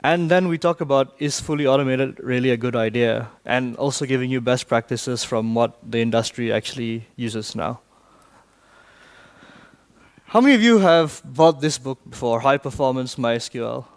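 Guy from malaysia talking tech at a conference, keeps asking the room questions, big hall. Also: mid-range voice. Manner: brisk, quizzes the audience often. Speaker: conference speaker. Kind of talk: technical conference talk.

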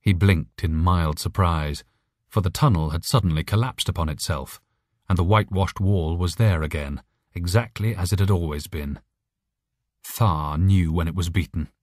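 A male audiobook narrator reads a scripted short story. His voice sits low, and the sound is dry, with very little room in it. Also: normal speed, no room sound.

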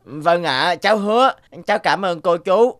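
A woman voicing an eager, polite young schoolboy in an animated cartoon. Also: fairly low pitch, normal speed, no echo, clean studio sound, lightly acted. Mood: shy, polite.

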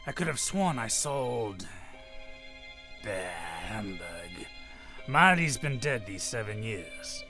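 A man plays a cold, miserly old businessman in a radio play. His pitch swings widely as he talks, curt and scornful, with music prominent in the recording. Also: vocal fry, heavily acted. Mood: uneasy, alarmed. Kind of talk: old-time radio drama acting.

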